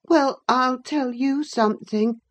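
A woman's voice: high-pitched, slow pace, voice pushed up. A woman voicing a sickly, spoiled boy in an audiobook, petulant, needy and spiteful. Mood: spiteful, taunting. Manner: petulant, wheedling. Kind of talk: dramatised audiobook reading of a classic novel.

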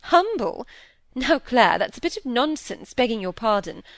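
Woman performing young noblewoman, high-pitched, very animated, imperious, teasing, world-weary, emphatic, period audiobook. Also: moderate pace, no echo. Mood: breezy, emphatic. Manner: breezy, imperious, emphatic, playfully complaining. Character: aristocratic young lady, frank, teasing, world-weary, breezy. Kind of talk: audiobook character voice in a period novel.

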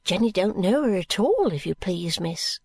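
A woman voicing a small, earnest young maid who speaks softly in a lowered, polite voice. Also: sing-song, normal speed, breathy edge, no echo. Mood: deferential. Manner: soft, low and polite.

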